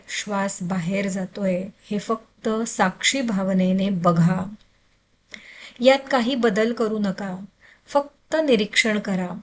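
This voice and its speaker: A woman leads a guided meditation at an unhurried pace. Her pitch sits in the middle for a woman. She gives gentle, soothing instructions in short phrases, leaving deliberate pauses between them.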